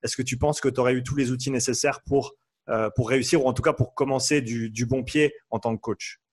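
A man's voice: medium pitch, steady tone, brisk. A man hosting a podcast, asking his guest friendly, curious questions in a casual way. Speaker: podcast host.

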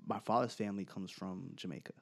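Low-voiced guy hosting a podcast, rambly and relaxed, lots of restarts. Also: quick pace, vocal fry. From United States. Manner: laid-back, rambling with restarts. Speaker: podcast host. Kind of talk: casual debate chat.

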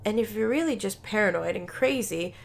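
A woman's voice: medium pitch, lively intonation, fairly quick, vocal fry. A woman voicing a dismissive, mocking young college friend in a story, sarcastic and skeptical.

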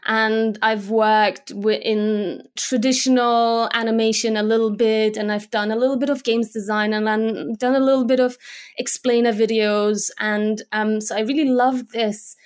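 A Slovak-born woman with an English accent talks casually as an interview guest. She is a young adult with a fairly high voice, and she chats along with plenty of fillers and restarts. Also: level tone, unhurried, smooth. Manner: chatty, with many fillers and restarts. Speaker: guest, motion graphics designer.

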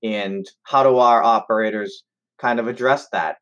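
Male interviewer, lowish pitch, measured and conversational, slightly dull recording. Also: fairly bright tone.

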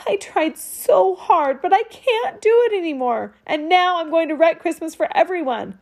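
A woman's voice: sits high, animated, normal speed, pitched above the performer's own voice. Woman doing a crying young boy. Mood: sobbing. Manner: sniffling, choked, pleading. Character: young boy, tearful and upset.

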